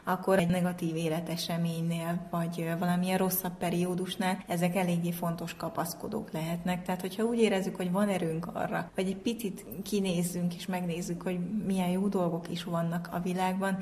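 Female explainer, lowish and fairly flat, informal talk, faint ambient noise behind. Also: hardly any pauses.

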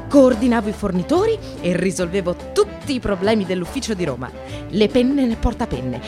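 A woman's voice: mid-range voice, lightly acted. An actress playing an ironic, anxious woman in precarious work, confiding her life in a wry, theatrical radio monologue. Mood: excited, proud. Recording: radio play with music behind.

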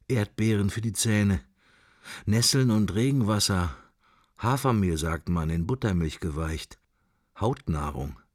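Middle-aged man thinking out loud, low husky voice, sardonic, wandering radio play monologue, very dry close sound. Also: dry recording. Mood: dreamy musing. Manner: wandering, clipped musing, sardonic.

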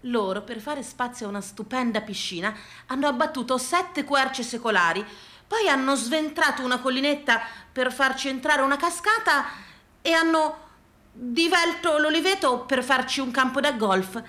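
Echoey room, woman, very high pitch, theatrical comic monologue, satirical, emphatic repetition. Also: moderate pace, bright, forceful tone. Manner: incantatory lists with emphatic repetition; satirical, exclamatory. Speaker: solo stage actress. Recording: roomy, echoing stage sound.

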